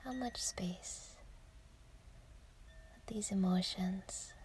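A woman guiding a yoga nidra meditation in a soft, breathy near-whisper, gentle and soothing, with unhurried pauses. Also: medium pitch, rough recording. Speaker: meditation guide.

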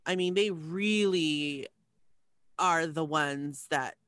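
A woman in her forties, a podcast co-host guest, chatting in an animated, emphatic way with laughs. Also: low voice, slow pace, clean tone, no room sound, clean studio sound. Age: 40s. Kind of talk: casual interview chat.

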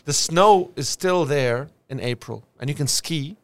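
A man's voice: a little high, measured pace. A man from Lebanon, a podcast guest telling stories in a casual chat and laughing often. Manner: animated storyteller, laughs often, restarts. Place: Australia.

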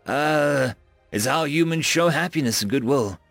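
A man's voice: fairly high pitch, measured pace, creaky, fairly bright tone, no echo, faint music behind. A man voicing a friendly male human starship captain who talks earnestly and gets a little flustered.

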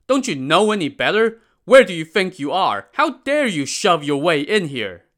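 A man's voice: mid-range voice, very animated, a little fast, voice pushed up. A man voicing rude, bossy guards and soldiers at an ancient Chinese execution, barking at the crowd. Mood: barking orders. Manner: barking, shouting.

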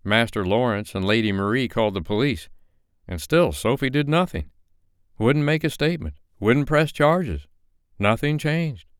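A man's voice: mid-range voice, clean tone, no room sound, clean studio sound, voice pushed up, natural voice. A man voicing a fair-minded, protective male character in an audiobook, earnest and reproving.

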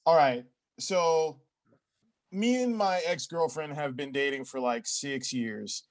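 Hapless male applicant played by a comic actor: higher voice, halting, rambling, forever explaining himself, improv sketch comedy. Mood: rambling, earnest. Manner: halting, rambling, sheepishly self-justifying.

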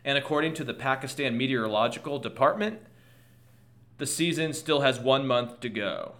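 A man's voice: medium pitch, lively intonation, moderate pace, a bit bright. A man hosting a solo podcast, commenting on world news in a conversational way, thinking aloud with restarts and asides, and losing his voice. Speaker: podcast host.

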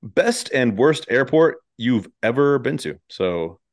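A man's voice: mid-range voice, big pitch swings, slow. A man hosting a podcast in casual chat, with an irreverent, sweary way of talking. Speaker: podcast host.

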